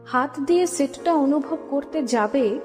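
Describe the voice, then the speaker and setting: A woman's voice: sits high, a little slow, a little mellow. A woman narrator reading a scripted story for an audio drama. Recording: long reverb under music. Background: music.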